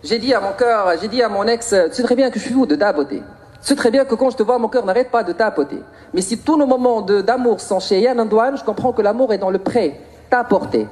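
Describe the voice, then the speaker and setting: A man with a Moroccan accent performing spoken-word slam poetry in a theatrical, rhythmic, punchy delivery with drawn-out line endings. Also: high-pitched, darkish tone, faint music behind. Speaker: slam poet.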